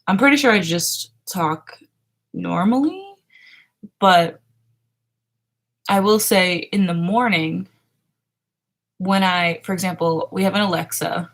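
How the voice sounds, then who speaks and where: A woman's voice: fairly low pitch, slow pace, long pauses, fairly bright tone. A young woman in her twenties chatting casually on a morning livestream from her bedroom, laughing often and trailing off with fillers. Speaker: livestream host. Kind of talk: casual chat with chat readers. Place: small home bedroom, indoors. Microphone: webcam framing at desk.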